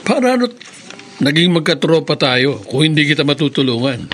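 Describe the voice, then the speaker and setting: A cocky but loyal young buddy in a radio play. He has a high voice for a man and talks in an expressive, slangy way, with faint noise behind. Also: a little fast. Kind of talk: radio drama acting.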